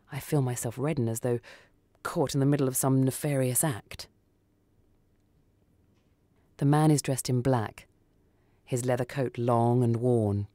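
A narrator tells a short story in the first person with a dramatic delivery. The voice sits at a middling pitch. The sound is very dry. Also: mellow, dry recording. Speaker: story reader.

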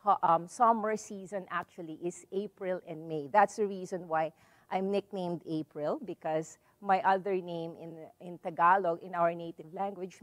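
Filipino lady lecturing in a library, lowish voice, dry close sound, lots of "so" and "um". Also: no echo. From Philippines. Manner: measured, storytelling, frequent "so" and "um". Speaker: visiting lecturer. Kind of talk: public lecture. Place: library hall with a seated audience.

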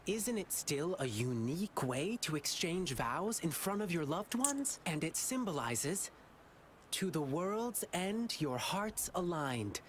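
A woman's voice: on the low side, sing-song, slow pace, a little hiss or hum. A woman playing an eager, earnest young lion dancer boy in a video game, cheerfully chanting blessings. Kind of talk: English video game voice acting.

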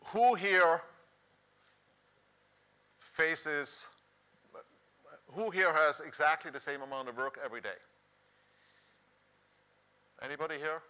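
Bright-voiced Swiss-accented man presenting. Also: on the high side, slow, long pauses, smooth. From Switzerland. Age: middle-aged. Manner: conversational, rhetorical questions to the audience. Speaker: conference speaker, professor. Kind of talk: conference presentation. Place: large dark conference hall stage, indoors.

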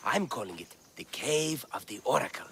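An actor playing an eager, bookish scholar of antiquities in ancient Greece, with florid, theatrical enthusiasm in video game dialogue. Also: male voice, medium pitch, very animated, moderate pace, creaky, a bit bright, a little hiss or hum.

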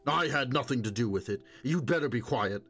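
A man's voice: mid-range voice, expressive, a little fast, a bit bright, husky. A man voicing a hard younger stalker in an audiobook, speaking coldly and curtly in a dismissive manner. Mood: cold blame. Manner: cold, curt, dismissive.

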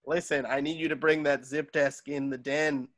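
A performer voicing a cranky, loud old male talent agent with a blustering manner over a video call. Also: mid-range voice, flat delivery, moderate pace, voice pushed down, natural voice. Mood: exasperated. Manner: exasperated, blustering.

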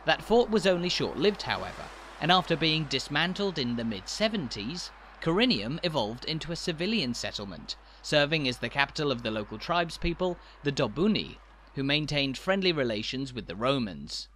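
A man's voice: fairly high pitch, sing-song, fairly bright tone. Guy doing walking tour narration, bouncy up and down delivery, bit of traffic hum behind. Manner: measured, informative tour narration. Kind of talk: scripted narration.

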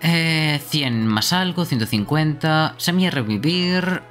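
A man's voice: a little high, animated, slow pace, fairly bright tone. Man chatting casually over game music. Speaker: game streamer. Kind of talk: casual live gaming chat.

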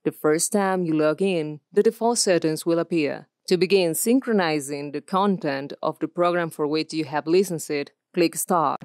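A woman's voice: low voice, normal speed, hardly any pauses, a little mellow, dry recording, clean studio sound. A woman from Argentina with an Argentine Spanish accent, a native Spanish speaker, reading scripted commercial and e-learning narration as a voice-over artist.

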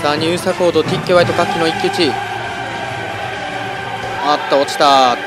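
A man's voice: on the high side, fairly even intonation, quick pace. A man narrating and commentating a competition with dramatic, announcer-style calls. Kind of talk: energetic sports-style commentary. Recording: voice-over under loud music.

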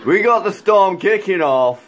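A man with a croaky voice, chatting excitedly through a racing game as a gaming YouTuber, rambling with fillers. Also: high voice, measured pace, slight background noise. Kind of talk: casual racing game commentary.

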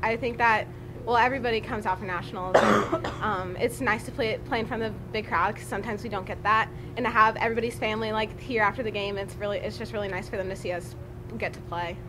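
Brief: young woman rugby player, postgame presser, modest and brief, mid-pitched, rumbling room. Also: fast. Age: young adult. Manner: modest, short answers with fillers. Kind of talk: postgame press conference answers. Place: press room at a draped table. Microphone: table mic on a small stand. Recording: steady background rumble.

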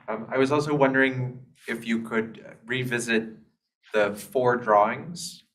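Man in the audience, mid-pitched voice, polite and a little halting. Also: steady tone, fairly bright tone, a little hiss or hum, poor audio. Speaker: audience member asking questions. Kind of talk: Q&A questions.

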